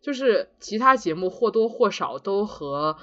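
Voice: medium pitch, big pitch swings, measured pace, bright, forceful tone, highs cut off. A podcast co-host chatting casually in a reflective, self-deprecating way. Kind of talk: casual two-person podcast chat.

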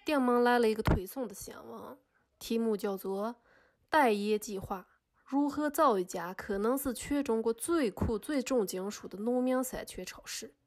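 Woman playing indignant lady accuser in a podcast court drama, highish voice, scolding n sarcastic. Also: moderate pace, lightly acted. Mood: narrating. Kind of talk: scripted podcast drama monologue.

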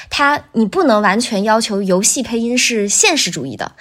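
A woman with a thin, bright, lively voice, talking casually as a voice actor guest on a podcast, explaining things in an animated way. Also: on the high side.

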